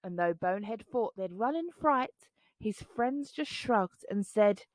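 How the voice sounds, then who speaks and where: A woman's voice: medium pitch, lively intonation, unhurried, darkish tone, no room sound. A woman reading a children's picture book aloud in a dramatic, rhythmic, singsong way. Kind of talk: expressive storybook read-aloud.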